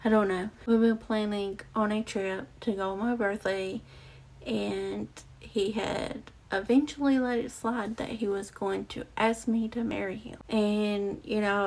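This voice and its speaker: A young woman with a raspy, gravelly voice tells her own story straight to her viewers. She talks in a halting, reflective way, full of fillers and restarts. Her pitch sits in a middle range, and she is close to the mic. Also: rough recording. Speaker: vlogger. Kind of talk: personal storytelling to camera. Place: outdoors or near a street with passing cars.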